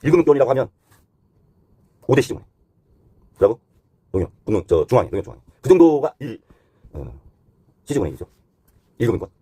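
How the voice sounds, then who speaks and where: A man's voice: medium pitch, sing-song, fast, long pauses, dark tone, slightly rough, no echo. A man giving unscripted explanatory commentary as a host, talking conversationally point by point and sliding between polite and blunt, casual phrasing.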